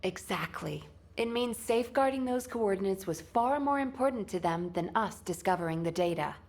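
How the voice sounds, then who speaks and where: A woman's voice: mid-range voice, expressive, normal speed, darkish tone, lightly acted. A woman playing a composed, authoritative military squad leader in a video game. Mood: firm, reasoning.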